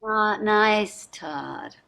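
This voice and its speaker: Actress as older well-to-do wife, bright forceful voice, sardonic, world-weary, pointed, stage drama reading. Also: female voice, mid-range voice, animated, very slow, gravelly, exaggerated voice. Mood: coaxing.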